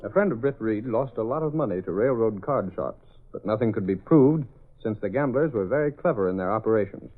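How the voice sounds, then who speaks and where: A man's voice: lowish voice, lively intonation, brisk. A man narrating a scripted old-time radio drama as its announcer, formal and dramatic. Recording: tinny phone-like old radio recording.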